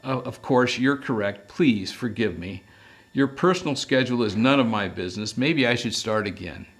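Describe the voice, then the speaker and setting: A man's voice: medium pitch, lively intonation, moderate pace, close to an everyday voice. Man reading as a priggish, fussy English professor. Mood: apologetic, strained. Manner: formal, wordy, fussy. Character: priggish, anxious male English professor on telephone.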